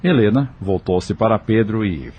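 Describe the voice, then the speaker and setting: A man's voice: on the low side, lively intonation, unhurried, breathy edge, highs cut off. A man reading scripted narration as the narrator of an audio novel.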